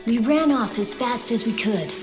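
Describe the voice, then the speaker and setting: An actress voicing an earnest, wistful young boy in an anime audio drama, speaking in a solemn, deliberate dramatic style. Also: fairly high pitch, natural voice. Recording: loud music under the voice. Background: loud music.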